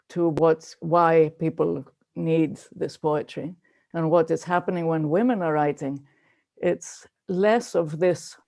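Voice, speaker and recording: A woman talking casually and thoughtfully on a video call, explaining her choices in short, halting phrases. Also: fairly low pitch, gravelly, a little mellow. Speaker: poetry reader.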